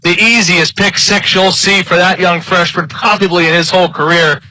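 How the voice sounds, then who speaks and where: A man's voice: high voice, steady tone, moderate pace, sharp tone, dry recording, rough recording. A man with an American accent giving color commentary and analysis during a live American football broadcast.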